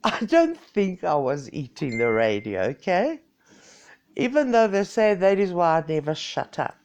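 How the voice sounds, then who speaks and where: A woman's voice: fairly low pitch, a little mellow. A woman in her sixties with a Greek South African accent, chatting playfully and mocking herself on a home live stream. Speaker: vlogger. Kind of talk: casual live-stream chat.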